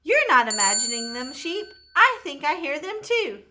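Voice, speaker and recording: A woman voicing a patient, helpful puppet friend in a children's puppet show, in a measured, theatrical manner. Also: sits high, very animated, noisy background. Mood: curious, searching.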